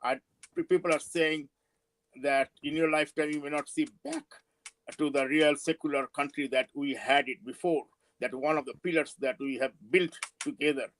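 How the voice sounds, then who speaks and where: A man in his seventies with a Bangladeshi accent and Bengali as his first language, giving a political speech with personal recollections in a halting, emphatic way. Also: fairly high pitch, fairly even intonation, fairly bright tone, slight background noise, rough recording. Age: 70s. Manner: halting, emphatic, with drawn-out words. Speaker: panel speaker at a forum.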